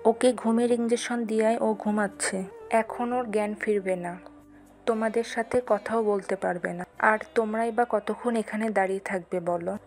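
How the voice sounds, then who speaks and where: A woman's voice: medium pitch, steady tone, normal speed, a little mellow, soft background music, natural voice. A woman playing a kindly but firm university teacher in an audio story, composed and instructive. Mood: calm, reassuring.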